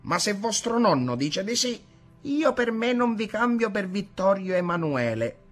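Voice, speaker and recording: A man's voice: high voice, sing-song, a little slow, fairly bright tone, soft background music, voice pushed up, heavily acted. A man voicing a shy young village girl in a storybook reading. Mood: coy.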